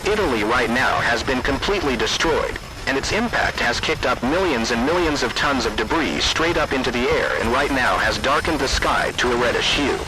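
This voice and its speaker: A man reading scripted emergency alert announcements in a formal, deliberate manner. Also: mid-range voice, animated, rough recording. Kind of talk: scripted emergency broadcast narration.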